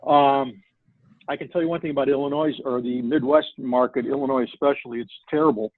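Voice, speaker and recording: An older man talks casually, like an agent catching up with peers. His medium-pitched voice comes through a thin, tinny phone line, very dry and close. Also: fairly even intonation, a little fast, no echo. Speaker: real estate agent. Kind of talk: casual chat on a call.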